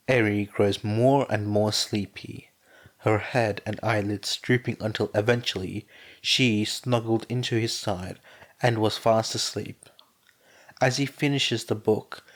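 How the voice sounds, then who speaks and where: A man with a hoarse, sore voice reading fan fiction aloud as a podfic narrator, then chatting casually with his listeners. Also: fairly low pitch. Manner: plain, steady reading with a strained, sore voice. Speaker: podfic reader.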